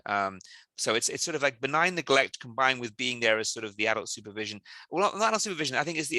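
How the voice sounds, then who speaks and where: A man from South Wales, a company CEO answering interview questions in quick, animated stories full of restarts. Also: mid-range voice, no echo, poor audio.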